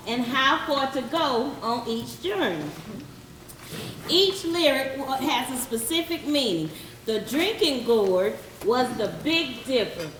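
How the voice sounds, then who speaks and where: A woman's voice: high voice, a bit bright. A woman reading educational narration aloud as a narrator, carefully and with small stumbles. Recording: distant, echoey, noisy room.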